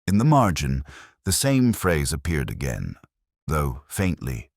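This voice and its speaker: Male actor as brooding inspector narrating a detective drama: extremely low voice, expressive intonation, measured delivery. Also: creaky, dry recording, studio quality, full character voice. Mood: brooding, observant. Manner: measured, literary, reflective. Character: brooding male police inspector recounting a murder case. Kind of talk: first-person scripted detective story narration.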